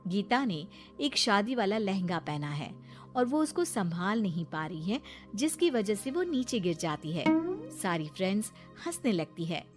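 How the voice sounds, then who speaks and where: A woman delivering scripted narration as the storyteller of an animated tale. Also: mid-range voice, measured pace, music in the background.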